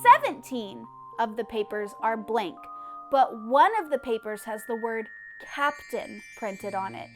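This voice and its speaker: A firm but patient kindergarten teacher, put on by a woman reader. Her storybook voice is high and measured, instructing small children over faint music. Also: sing-song, fairly bright tone. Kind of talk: children's storybook character reading.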